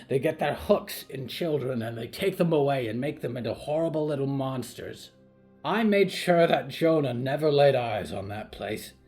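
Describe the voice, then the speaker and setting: A man's voice: medium pitch, darkish tone. A man voicing an imperious, snappish old grandmother in an audio drama, indignant and cutting in manner. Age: older. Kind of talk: audio fiction story acting.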